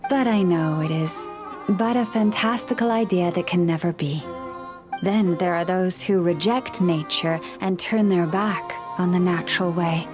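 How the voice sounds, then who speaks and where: A woman voicing a gentle, wise woman in a video game who speaks with animals, talking in a calm, measured, softly reflective way. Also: lowish voice, over music, natural voice.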